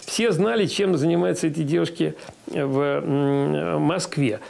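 A man's voice: fairly high pitch, a little slow, creaky. A man in his sixties giving an interview in an ornate room, talking hesitantly with many filler sounds. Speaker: interviewee, producer. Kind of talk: interview recollection.

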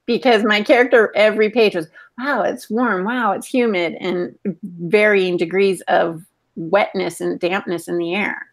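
A woman's voice: medium pitch, steady tone, measured pace. A woman in her forties co-hosting a podcast over a video call, chatting in a playful, teasing way. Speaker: podcast co-host. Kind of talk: casual conversational chat. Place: home room, indoors. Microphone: earbuds on video call.

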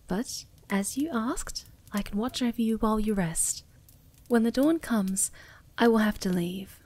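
A young woman playing a sweet, ditzy, shy moth girl in an ASMR roleplay, speaking softly and breathily with gentle stammers, often near a whisper. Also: mid-range voice, close to an everyday voice. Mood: gentle, reassuring. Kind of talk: soft-spoken ASMR roleplay.

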